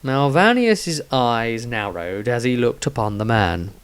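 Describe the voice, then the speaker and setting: A man's voice: mid-range voice, expressive, measured pace, clean tone. A man reading scripted narration of a fantasy story as its narrator. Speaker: author narrator.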